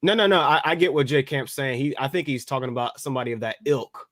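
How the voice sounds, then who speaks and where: A man co-hosting a podcast, giving casual commentary in a halting, explanatory way. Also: medium pitch, a crowd in the background. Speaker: podcast co-host.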